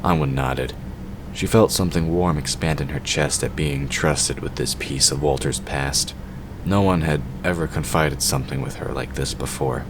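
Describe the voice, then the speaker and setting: A man's voice: a bass voice, moderate pace. A man reading scripted story narration as the narrator of an audio drama. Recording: clearly noisy background ambience.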